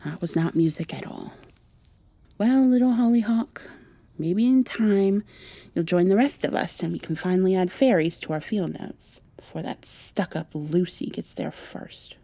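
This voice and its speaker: Woman acting a gentle young witch for asmr, slow n mellow, bit breathy, wry. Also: on the low side, no echo. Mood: wry, muttering.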